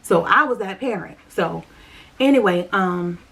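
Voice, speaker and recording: A woman's voice: mid-range voice, expressive, unhurried, gravelly, fairly bright tone, breathy edge. A woman chatting on her vlog, telling a personal church story. She repeats herself and talks straight to her viewers. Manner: chatty, repetitive, addresses viewers directly. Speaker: vlogger.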